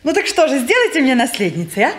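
A woman's voice: sits high, very animated, quick pace. A playful, curious young girl. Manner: eager, playful.

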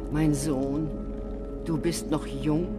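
A man's voice: fairly high pitch, steady tone, over loud music. An actor playing an aged, sorrowful, motherly wise woman in a radio drama, speaking solemnly like an oracle. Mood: gentle, grave. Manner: slow, solemn, oracular. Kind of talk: literary radio drama acting.

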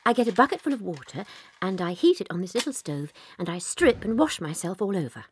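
An actress playing a gentle, kindly young schoolteacher with an English accent in a dramatized children's audiobook. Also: female voice, medium pitch, sing-song, a little fast, breathy edge, no room sound. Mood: kindly, matter-of-fact.

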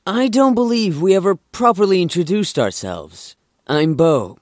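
A man's voice: high voice, lively intonation, unhurried, no room sound, studio quality. A man playing a friendly, courteous young man in an audio drama.

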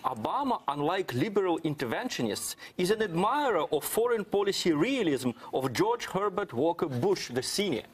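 A man's voice: high voice, very animated, moderate pace, few pauses, breathy edge. A man, arguing earnestly as a commentator on a studio political panel. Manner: earnest, argumentative. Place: TV studio at a round desk.

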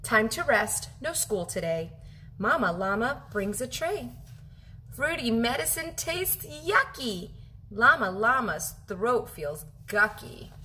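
Animated woman reading a picture book aloud. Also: fairly high pitch, slight background noise. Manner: animated, drawn-out phrasing with questions to young listeners. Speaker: teacher reading aloud to children. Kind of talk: expressive children's picture book read-aloud. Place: home kitchen, indoors.

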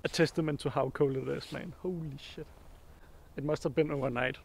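Young male athlete vlogger, slightly high and gravelly voice, camera held at arm's length, faint noise behind. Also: brisk. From Denmark. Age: young adult. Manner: relaxed, chatty, filler-heavy. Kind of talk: casual vlog narration. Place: apartment living room indoors, then car and outdoors. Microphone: handheld camera at arm's length.

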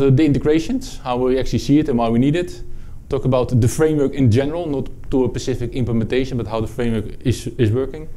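A man from the Netherlands with a Dutch accent, presenting a technical product talk at a conference, halting, with many fillers and restarts. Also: medium pitch, warm tone. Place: conference room with audience.

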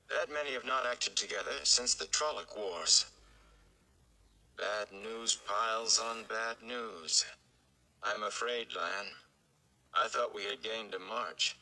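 A man's voice: lowish voice, vocal fry, sharp tone, exaggerated voice, rough recording. A man voicing a weary, worried wise sorceress in a storybook, grave and tired.

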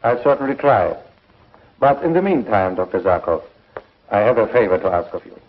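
A man acting a polite, measured professor in a 1950s TV science fiction serial. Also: on the low side, big pitch swings. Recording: tinny, narrow old film soundtrack.

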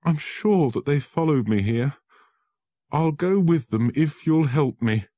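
A man's voice: low voice, very animated, slow pace, a little mellow, dry recording, exaggerated voice. A man voicing a frightened young male lawyer who pleads with desperate urgency.

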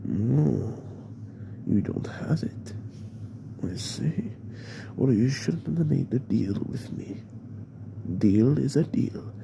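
A man playing a menacing, possessive mafia boss in an ASMR roleplay, in a breathy, half-whispered murmur with slow, deliberate pauses. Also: heavily acted. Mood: cold, possessive. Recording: noisy, with steady background rumble.

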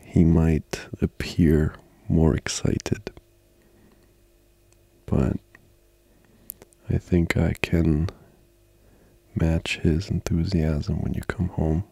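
A man playing a tender, comforting boyfriend in an intimate ASMR roleplay, breathy and often whispering, with slow, soothing murmurs. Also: a bass voice, level tone, dark tone, no echo, exaggerated voice. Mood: gently playful.

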